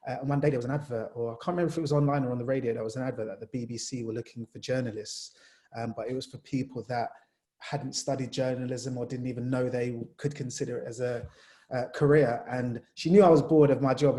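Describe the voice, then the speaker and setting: Jamaica-born young male sports journalist, warm mellow voice, animated, loose chatty delivery. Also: mid-range voice, quick pace. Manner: animated storyteller, many fillers. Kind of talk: casual panel talk.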